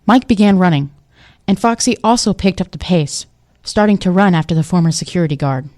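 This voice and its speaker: A woman's voice: on the low side, brisk, a little mellow. A woman giving scripted story narration for an audio drama.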